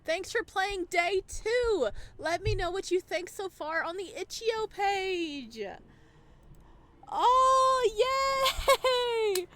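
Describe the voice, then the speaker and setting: A woman giving excited, casual commentary as she hosts a video game playthrough, gushing and full of exclamations. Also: very high voice, very slow.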